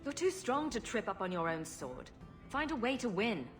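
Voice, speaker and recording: An actress playing a bold, wry, take-charge adventurer in a fantasy video game. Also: female voice, mid-range voice, big pitch swings, moderate pace, natural voice. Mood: firm. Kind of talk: video game dialogue acting. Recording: clear music under the voice.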